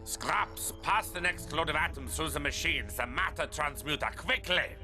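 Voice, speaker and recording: A man's voice: a little high, moderate pace, music in the background, natural voice. A man voicing a scheming, commanding villain doctor with a pompous manner in a children's cartoon. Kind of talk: theatrical cartoon villain acting.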